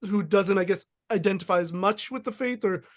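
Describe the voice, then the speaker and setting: A man's voice: high voice, a little fast. A man hosting a podcast, asking questions in a thoughtful, casual way with many fillers and hedges. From United States. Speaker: podcast host. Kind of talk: casual interview chat.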